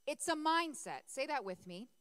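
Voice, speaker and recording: Hoarse woman pastor preaching. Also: high voice, very animated, moderate pace, a bit bright, no room sound. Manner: conversational, asks congregation for responses. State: losing her voice. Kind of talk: sermon.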